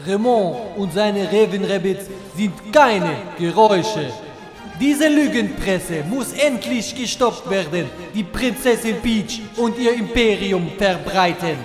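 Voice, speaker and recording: A man's voice: sits high, expressive, slow, echoey room, long reverb tail, over music. A man doing a pompous rabbit rebel leader. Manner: pompous, rabble-rousing, odd word order. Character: scheming rabbit rebel leader, grandiose male.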